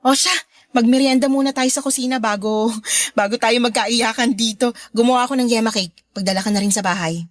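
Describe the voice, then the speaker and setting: Casting: woman as caring Filipino elder sister for radio drama, lightly high voice, affectionate and encouraging, dry studio sound. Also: a little fast, no room sound, natural voice. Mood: tender, upbeat. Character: supportive, warm older sister, married Filipino woman. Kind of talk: Tagalog radio drama acting.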